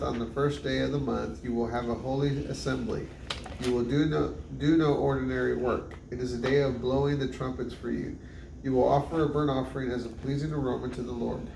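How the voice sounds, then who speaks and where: A man reads scripture aloud in measured, list-like phrases, with an occasional stumble. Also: mid-range voice, vocal fry. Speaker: Bible reader. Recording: roomy, distant mic with loud background noise.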